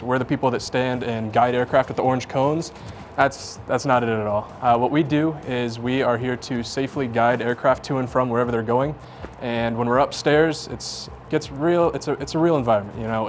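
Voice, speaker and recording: A young American man giving an informal, explanatory tour as an air traffic controller, speaking in a plain, conversational way. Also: mid-range voice.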